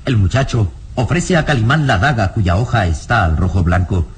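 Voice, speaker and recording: A man narrating a radio drama in a solemn, deliberate, dramatic scripted style. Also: on the low side, very animated, husky.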